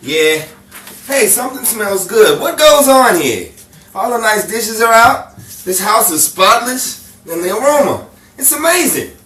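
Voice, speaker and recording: A man's voice: sits high, sing-song, measured pace, sharp tone, full character voice. A man acting an exasperated, teasing suburban husband in a 1950s-style radio sitcom, sarcastic and emphatic. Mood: cheerful, curious. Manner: sarcastic, emphatic, repeats words for effect. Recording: roomy, distant, noisy home recording.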